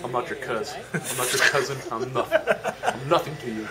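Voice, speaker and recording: A man's voice: mid-range voice, a little fast, lightly acted. A man voicing a blustering, bigoted puppet with a drawling manner in an improvised amateur puppet show. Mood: hostile, dismissive. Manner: drawling, hostile, ends remarks with "boy". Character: gruff bigoted male condiment puppet, blustering then flustered. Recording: noisy room with loud chatter.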